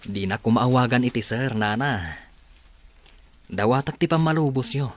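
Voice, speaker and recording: A man's voice: mid-range voice, moderate pace, dry recording, natural voice. An actor with an Ilocano accent playing an earnest young man courting a woman, in a radio drama. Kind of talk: radio drama acting and closing narration.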